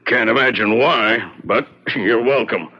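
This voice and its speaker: An actor playing a plain-spoken, matter-of-fact town constable in a radio drama, with blunt, folksy speech. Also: male voice, medium pitch, measured pace, natural voice. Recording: dull, lo-fi radio drama recording.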